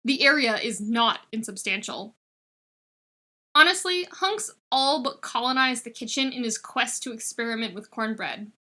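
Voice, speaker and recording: Woman reading fanfic aloud, bright forceful voice, kinda high, expressive storytelling. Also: normal speed. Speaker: podfic reader. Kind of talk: expressive scripted fiction narration.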